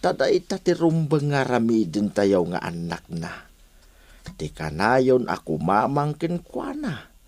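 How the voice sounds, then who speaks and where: A man with an Ilocano accent playing a kindly, devout old elder in a radio drama, giving solemn fatherly counsel in a slow, husky voice. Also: medium pitch, wildly swooping pitch, a little mellow. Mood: solemn, encouraging. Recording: music behind the voice.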